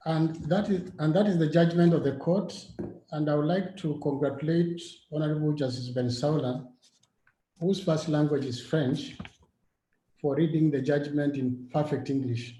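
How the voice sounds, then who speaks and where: Higher-voiced man presiding, in an echoey room. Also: fairly even intonation, moderate pace, mellow. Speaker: court president chairing a session. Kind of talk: formal, unscripted chairing. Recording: roomy echo, faint background noise.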